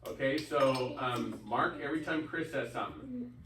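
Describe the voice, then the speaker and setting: A man's voice: medium pitch, normal speed. A man teaching a small reading group informally, patiently coaxing and giving instructions in a classroom. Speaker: teacher leading a reading lesson. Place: echoey classroom with children. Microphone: distant microphone. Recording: echoey, distant, noisy classroom recording.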